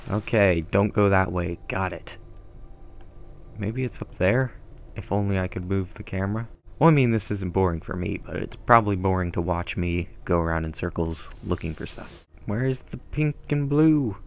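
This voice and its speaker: Young male let's-player, deep, chatty and meandering, headset mic at home. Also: moderate pace. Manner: rambling, filler-heavy, self-deprecating. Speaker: gameplay commentator. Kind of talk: casual gameplay commentary. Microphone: gaming headset mic.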